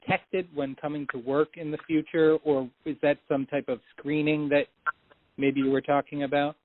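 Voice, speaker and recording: Higher-voiced man reporter on a phone. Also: no room sound. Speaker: television news reporter. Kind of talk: asking questions at a press conference call. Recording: dry, tinny phone line.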